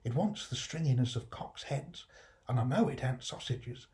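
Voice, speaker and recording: Classic storybook role, acted by a man: kindly old working-class dad, mellow tone, homely dialect, musing and affectionate. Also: mid-range voice, lively intonation, normal speed, natural voice. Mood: pondering. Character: kindly, humble old working-class London father, easily enraptured.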